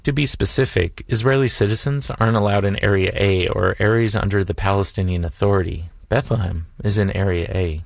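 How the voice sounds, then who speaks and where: A man's voice: lowish voice, fairly quick, vocal fry, few pauses, no room sound. A man narrating a travel documentary in a dry, wry, reflective way. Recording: voice-over with music behind.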